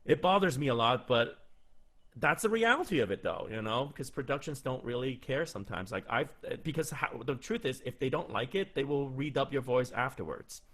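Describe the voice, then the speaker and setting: A man's voice: mid-range voice, lively intonation, normal speed, fairly bright tone. A man in his thirties, a voice actor, answering interview questions in a loose, chatty way with frequent restarts, recorded on a studio mic at home. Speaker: voice actor giving career advice. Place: small room at home, indoors. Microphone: large studio mic with pop filter, headphones on.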